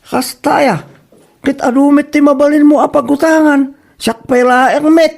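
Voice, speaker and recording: A man's voice: very high-pitched, fairly even intonation, a little slow, vocal fry, exaggerated voice. A man acting a teasing, sceptical village buddy in an Ilocano comedy drama, mocking and exclamatory.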